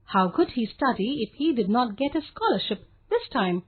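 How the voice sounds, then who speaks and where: A woman storyteller with a high, breathy-husky voice reads a children's tale. Her pitch moves in a lively, animated way. The sound is narrow and lo-fi, like a phone line. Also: moderate pace. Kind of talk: scripted children's story narration.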